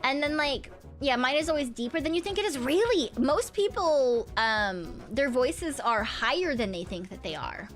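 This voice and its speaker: A young woman with a slightly high voice chats loosely on a stream, humming and giggling between thoughts. She rambles through fillers and drops a casual swear now and then. Soft music plays faintly behind her. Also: expressive, moderate pace, few pauses. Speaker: VTuber streamer.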